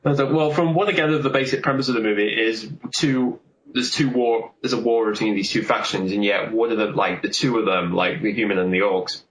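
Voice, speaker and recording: A man's voice: on the low side, brisk. A man chatting casually in an easygoing, agreeable way, often hedging his opinions. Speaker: podcast co-host. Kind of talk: casual chat. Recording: roomy echo, background noise.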